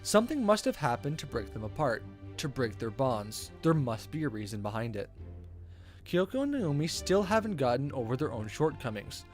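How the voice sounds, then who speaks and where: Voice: medium pitch, sing-song, normal speed, faint music behind. A game streamer reading a visual novel aloud in a playful, theatrical way, stumbling over the text and reacting casually.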